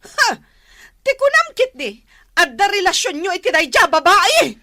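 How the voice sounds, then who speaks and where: Radio drama role: jealous Ilocano wife played by a woman, very high, bright voice, swooping, nagging and confrontational. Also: very animated, normal speed, no echo, clean studio sound, exaggerated voice. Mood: jealous, accusing. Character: jealous, loud-mouthed Ilocano wife picking quarrels. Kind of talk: melodramatic radio drama acting.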